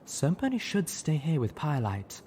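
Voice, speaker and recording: A man voicing a gentle, cautious, refined mare with a soft, slightly raspy voice in an audio drama. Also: a little high, wildly swooping pitch, moderate pace, slight background noise, pitched above the performer's own voice. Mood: huffy.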